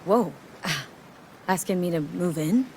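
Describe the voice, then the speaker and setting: A woman's voice: on the low side, slow, darkish tone, a little hiss or hum, poor audio. A woman playing a playful, direct young mercenary and lover in a video game, with clipped, teasing phrasing. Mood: touched. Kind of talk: naturalistic video game acting.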